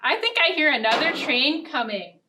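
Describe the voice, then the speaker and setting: A young woman hosting a storytime for small children, speaking in simple, deliberate phrases. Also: sits high, animated, background noise. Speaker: children's library storytime host. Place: indoor storytime set. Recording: distant mic, ukulele music. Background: ukulele strumming.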